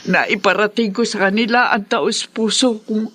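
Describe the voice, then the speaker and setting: A woman's voice: medium pitch, fairly even intonation, normal speed, a bit bright, natural voice. An actress playing an older Filipino mother in a radio drama, pleading earnestly for forgiveness. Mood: earnest, resigned. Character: remorseful ailing older mother begging forgiveness.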